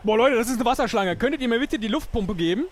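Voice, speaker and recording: A man playing an improvised adventure game, thinking aloud in an animated way. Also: extremely high pitch, quick pace, heavy background noise. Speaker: player. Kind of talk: improvised role-play adventure chat.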